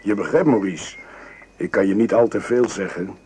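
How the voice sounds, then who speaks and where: An actor playing a confident, worldly French imperial guard lieutenant in a radio play, jovial and self-assured. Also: male voice, lowish voice, moderate pace, darkish tone, slightly rough. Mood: confiding, grave.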